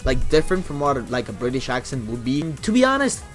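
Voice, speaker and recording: A man's voice: mid-range voice, sing-song, fast, faint music behind. Young Italian man, swearing, rambling. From Italy. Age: young adult. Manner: rambling, profane, frequent restarts. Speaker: YouTuber and streamer. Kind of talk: casual Q&A vlog.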